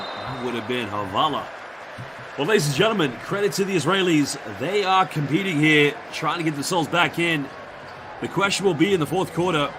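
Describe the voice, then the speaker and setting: A man with a London accent doing live basketball commentary, chatty and reading out viewer shout-outs between plays. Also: mid-range voice, noisy background. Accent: London English. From England. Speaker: play-by-play commentator.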